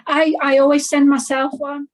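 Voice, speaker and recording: Self-deprecating woman author, higher voice. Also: little pitch movement, moderate pace. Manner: self-deprecating, hesitant restarts, light laughs. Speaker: indie author, guest. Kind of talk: casual conversational interview.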